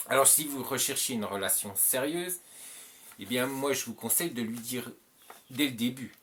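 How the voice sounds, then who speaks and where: A man's voice: mid-range voice, fairly bright tone, a little hiss or hum. A French-speaking man hosting his channel, giving advice in a casual, rambling monologue full of fillers and repeats. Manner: rambling, repeats himself, many filler "euh"s.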